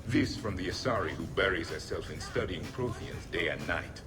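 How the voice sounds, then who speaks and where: An ancient alien warrior in a video game. His voice is low, his phrasing formal and full of proud disdain. Music plays clearly behind him. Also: expressive, moderate pace. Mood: scornful. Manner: formal, haughty.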